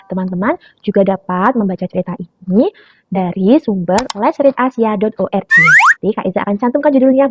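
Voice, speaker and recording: A young woman telling a story to preschool children in an animated way, often turning to her listeners. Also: medium pitch, noisy background. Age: young adult. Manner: animated, calls listeners "friends". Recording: dull lo-fi recording.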